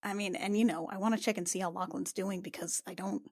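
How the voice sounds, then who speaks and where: A woman playing a frank, teasing young healer in improvised tabletop roleplay, speaking casually and haltingly and swearing freely. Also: mid-range voice, fairly even intonation, darkish tone, no room sound, studio quality, lightly acted. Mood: worried, subdued.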